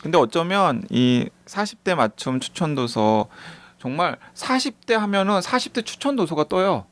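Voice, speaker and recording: A man in his forties co-hosting a book talk show, chatty and quick to laugh. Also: on the high side, sing-song, fairly bright tone. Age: 40s. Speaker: podcast co-host. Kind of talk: casual chat.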